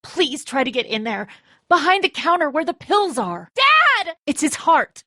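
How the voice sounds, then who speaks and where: A woman's voice: sits high, big pitch swings, unhurried, bright, forceful tone, no room sound, clean studio sound, full character voice. An actress playing a tough, stressed, protective woman who leads zombie-apocalypse survivors in a dramatic video game, speaking bluntly and with urgency. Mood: panicked, urgent.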